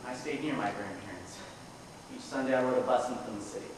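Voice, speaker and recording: A man's voice: mid-range voice, quick pace, natural voice. Young man playing an exasperated grandson in echoey hall. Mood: reflective narrating. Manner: projected, emphatic stage delivery. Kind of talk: amateur stage play acting. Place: theater stage, distant recording. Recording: echoey noisy hall, recorded from far off.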